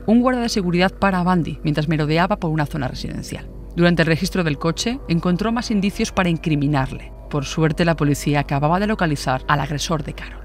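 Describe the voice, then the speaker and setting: A woman telling a scripted true crime story as a podcast host, with rhetorical asides. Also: low-pitched.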